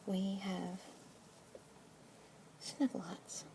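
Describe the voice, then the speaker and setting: A young woman with an Australian accent whispering softly and gently as a pet adoption consultant in an ASMR roleplay. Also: mid-range voice, monotone, gravelly. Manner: soft whispering, gentle and unhurried. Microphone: close sensitive ASMR mic. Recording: noisy hiss behind close whisper.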